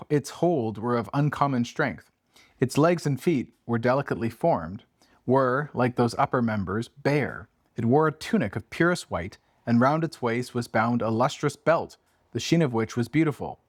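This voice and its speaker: A man's voice: mid-range voice, dry recording, clean studio sound. A man co-hosting a casual book chat, reading aloud and commenting with playful, joking asides. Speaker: podcast co-host reading a classic aloud.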